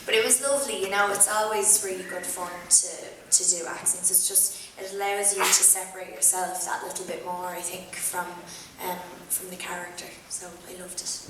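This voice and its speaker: A woman's voice: fairly low pitch, steady tone, moderate pace, hardly any pauses. A young woman with a strong Irish accent, answering questions at a theatre panel in a chatty, thoughtful way with many ums. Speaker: actor on a festival panel. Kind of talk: post-screening Q&A answers. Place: large theatre. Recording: echoey theatre from far off, noisy.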